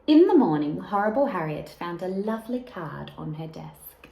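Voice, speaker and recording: A woman reading a children's picture book aloud like a teacher, expressively and deliberately, with emphasis on key words and asides to young listeners. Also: on the low side, sing-song, creaky, dark tone. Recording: echoey room with faint background noise.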